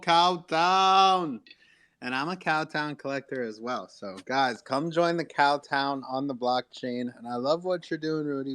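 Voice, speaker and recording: A man's voice: medium pitch, clean tone. Enthusiastic male online show host. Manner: enthusiastic, hype-filled encouragement. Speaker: host of an NFT marketing audio show. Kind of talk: upbeat promotional hosting of a live online audio room.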